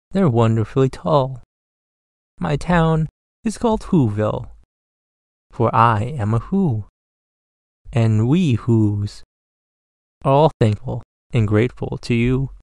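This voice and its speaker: A man's voice: medium pitch, a little mellow, dry recording, studio quality, voice pushed up. A man voicing a tiny, polite, grateful mayor of speck-sized folk in a rhyming storybook, with a small piping voice. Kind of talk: rhyming storybook character reading.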